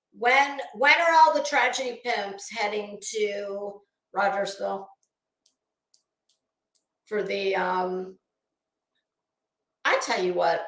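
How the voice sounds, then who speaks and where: Female livestream host: high, bright voice, unhurried delivery, scornful and sarcastic, casual commentary straight to viewers, echoey room. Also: vocal fry. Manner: blunt, sarcastic, scornful, swearing freely.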